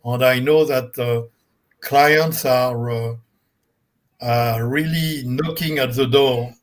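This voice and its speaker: A man from France hosting a live online panel, speaking slowly with many fillers. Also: medium pitch, fairly even intonation. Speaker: host. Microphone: video call.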